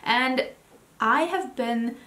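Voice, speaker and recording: Swedish woman chatting about makeup she got, highish voice, bit of room echo. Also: drawn-out words, sharp tone. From Sweden. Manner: chatty and enthusiastic, often restarts words. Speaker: beauty vlogger. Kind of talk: casual haul video talk. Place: home room with vanity lights.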